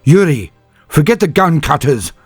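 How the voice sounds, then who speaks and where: A man voicing a loud, resolute woman officer. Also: fairly high pitch, lively intonation, normal speed, breathy edge, no echo, faint music behind, pitched above the performer's own voice. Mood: urgent. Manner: urgent, shouting over battle. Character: determined female ship's officer, resolute.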